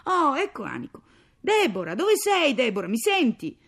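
A woman's voice: high voice, sing-song, fast talker, creaky, darkish tone, pitched above the performer's own voice, heavily acted. A woman voicing a flustered, henpecked father who stammers, repeats himself and blusters, in a dramatic story reading.

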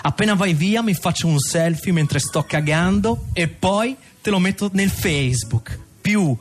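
A smug, frivolous young man in a radio satire, with a slightly high voice. He is affected and dismissive, tossing out slang over a music bed. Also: expressive, unhurried. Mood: patronising, smug. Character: smug, frivolous young Milanese client glued to his phone. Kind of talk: satirical radio drama acting. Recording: music behind the voice.

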